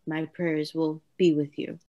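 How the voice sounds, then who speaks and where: A woman's voice: low-pitched, flat delivery, a little slow, warm tone, lo-fi sound. A woman playing a tormented, obsessive asylum lunatic in a radio play, with formal, theatrical diction. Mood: resigned, courteous. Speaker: actor.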